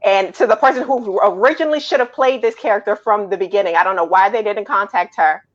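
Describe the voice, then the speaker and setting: A woman's voice: mid-range voice, quick pace. A woman on a casual discussion panel, arguing her picks in an insistent, emphatic way. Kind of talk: casual panel movie debate. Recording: dull lo-fi audio.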